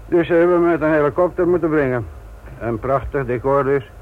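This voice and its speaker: An actor playing a gruff, sardonic senior police detective lecturing trainees in a radio play. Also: male voice, a little high, fairly quick, natural voice. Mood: wry, narrating. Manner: sarcastic, authoritative, lecturing. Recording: muffled, noisy old radio play recording.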